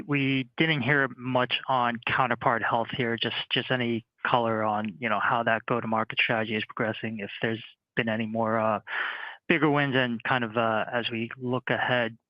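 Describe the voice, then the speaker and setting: A man with a medium-pitched voice asks questions as an analyst on an earnings call. The sound is dry and slightly dull. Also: flat delivery, moderate pace, no echo. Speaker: research analyst.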